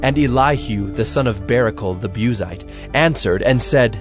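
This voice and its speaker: A man's voice: mid-range voice, normal speed. Male scripture narrator over faint music.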